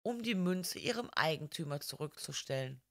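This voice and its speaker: A woman voicing a polite, gentle male priest detective who explains things calmly in a crime audiobook. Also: deep voice, moderate pace. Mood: polite, certain.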